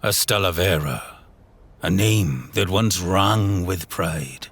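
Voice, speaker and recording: A man narrating a horror audio drama in a brooding, theatrical way, in short halting phrases. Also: low voice, fairly bright tone, husky. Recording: music bed under the voice. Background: music.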